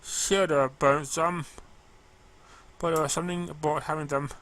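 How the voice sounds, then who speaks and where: Man, bright forceful timbre, higher-than-average pitch, halting fan fiction narration. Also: steady tone. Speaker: story narrator. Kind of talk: amateur fan fiction reading.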